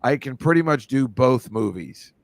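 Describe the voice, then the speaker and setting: A man chats loosely on a podcast, rambling about movies and drifting into quotes. His pitch sits in the middle. The studio sound is dry and close. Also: animated, normal speed, vocal fry, dry recording. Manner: rambling, slips into movie impressions. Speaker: comedian and podcaster, film teacher. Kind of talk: casual podcast chat.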